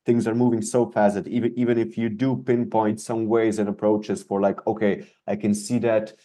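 Low, flat, mellow-voiced male host. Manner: halting, stumbles and restarts words. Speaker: podcast host. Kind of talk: conversational interview.

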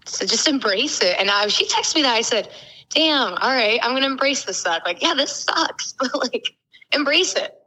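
A woman in her twenties from Florida, a podcast guest talking quickly, loudly and candidly, swearing freely. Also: sits high, lively intonation, breathy edge, poor audio. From Florida, USA. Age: 20s. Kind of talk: casual interview talk.

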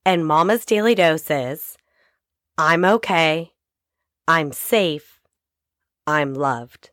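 A woman in her thirties hosting a short motivational podcast, hoarse and raspy from a cold, talking straight to her listeners in an encouraging way. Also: sits low, very slow, a bit bright, no room sound, studio quality. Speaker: podcast host.

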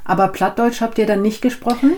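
A woman's voice: mid-range voice, steady tone, brisk, darkish tone. A woman whose first language is Dutch, co-hosting a language podcast, asking questions and listening attentively. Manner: clear, attentive interviewer with frequent affirming hums. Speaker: podcast co-host, business German teacher. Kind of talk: conversational podcast chat.

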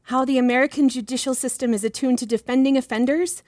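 A woman's voice: sits high, steady tone, fast, a little mellow, dry recording, studio quality, close to an everyday voice. An actress playing a modest, diligent young female research assistant, earnest and politely deferential, in a fiction podcast.

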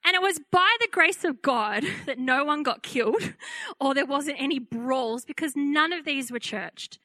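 A woman with a fairly high-pitched voice telling a lively personal testimony as a preacher, acting out the voices she quotes. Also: measured pace, dry recording. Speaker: pastor. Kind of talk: testimony sermon.

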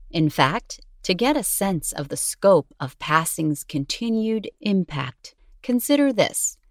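Woman narrator w a low voice, measured scripted read, articulate, very dry close sound. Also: dry recording.

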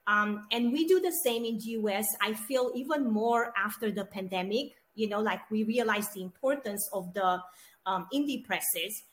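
A woman's voice: medium pitch, normal speed. A woman from Romania, co-hosting a casual interview over a video call and laughing often. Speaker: podcast co-host.

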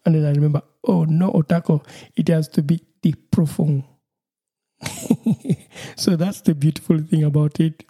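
A man's voice: on the high side, measured pace, mellow, husky. A Ugandan man whose first language is Lusoga hosts his own podcast, talking cheerfully and laughing often as he repeats himself. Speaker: podcast host. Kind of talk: solo podcast monologue.

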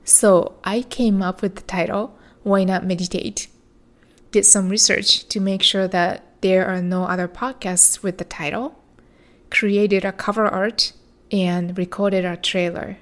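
A woman hosting her own podcast, speaking in a reflective monologue with a soothing, measured delivery. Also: medium pitch, level tone. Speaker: podcast host.

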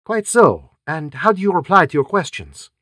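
A man voicing a fussy, vain middle-aged male detective, precise and fastidious. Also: high-pitched, lively intonation, bright, forceful tone, no room sound, studio quality, pitched above the performer's own voice, full character voice.

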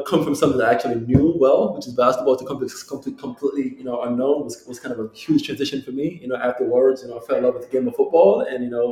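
Male athlete in his thirties, very quick talker, mellow voice, casual interview guest, repeats himself. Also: mid-range voice, reverberant, poor audio. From Jamaica. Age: 30s. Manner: repetitive, peppered with "you know". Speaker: football player, interview guest.

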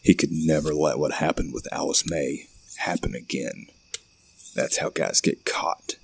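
A man narrating a scripted horror story in a gravelly, ominous, measured way. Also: extremely low pitch, steady tone, no room sound.

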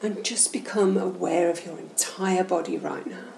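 A woman guiding a meditation session in a soothing, gentle, measured and instructional way. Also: fairly low pitch, fairly even intonation, creaky. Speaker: guided meditation host. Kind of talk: slow, soothing guided meditation narration. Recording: roomy echo, faint background noise.

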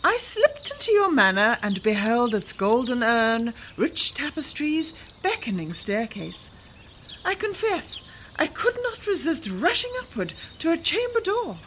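A woman's voice: high voice, very animated, measured pace, fairly bright tone, slight background noise, heavily acted. An actress playing a bold young bride, demure yet defiant, telling her tale in a theatrical fairy-tale radio drama. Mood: slyly insistent.

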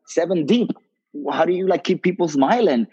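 A man's voice: high-pitched, expressive, normal speed, warm tone, breathy edge, lo-fi sound. A man, a brand ambassador on a panel, talking earnestly and filling his sentences with "you know, like". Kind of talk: panel discussion.

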